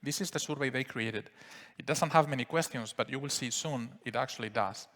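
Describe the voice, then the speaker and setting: A man with a Spanish accent giving a relaxed live software demo at a conference, explaining steps with frequent fillers. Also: mid-range voice, fairly quick. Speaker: software presenter. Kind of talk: live product demo talk. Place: conference hall. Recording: reverberant conference hall.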